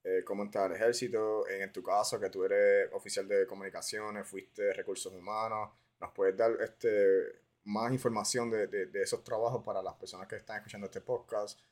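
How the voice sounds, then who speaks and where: Low-pitched Puerto Rican man hosting. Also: steady tone, moderate pace, a little mellow. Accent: Puerto Rican Spanish. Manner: informal, mixes English words into Spanish. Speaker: podcast host. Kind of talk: casual podcast interview.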